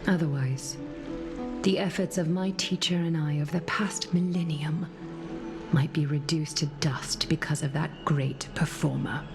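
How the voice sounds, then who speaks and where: A woman voicing a solemn, composed leader in a video game, speaking formally and with measured poise. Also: deep voice, steady tone, vocal fry. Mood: solemn, grave. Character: solemn, composed female leader weighing omens and fate. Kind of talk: scripted video game dialogue. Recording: music under the voice.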